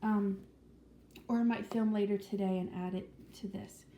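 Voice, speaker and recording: A woman talks casually to her viewers, wandering through her thoughts with plenty of ums, restarts and the odd whisper. Her voice is very dark and mellow, at a middling pitch for a woman. It is recorded in an echoey room with a faint background hiss. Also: steady tone, normal speed. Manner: chatty, rambling, frequent ums and restarts; drops to a whisper at times. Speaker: vlogger. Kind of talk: casual vlog chat.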